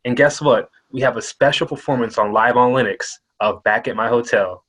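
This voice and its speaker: A man hosts an interview show with an upbeat, loud opening, then settles into easy, conversational questions. His voice sits at an ordinary male pitch. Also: moderate pace. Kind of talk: hosting an interview.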